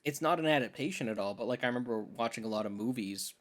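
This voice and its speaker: A man's voice: medium pitch, fast talker, fairly bright tone. A young man co-hosting a podcast, talking casually and emphatically in slangy, opinionated bursts. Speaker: podcast co-host. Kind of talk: casual film discussion chat.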